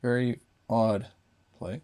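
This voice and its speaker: A man's voice: mid-range voice, steady tone, takes it slowly, warm tone. A man giving a casual, unscripted hands-on gadget review, thinking aloud in short fragments.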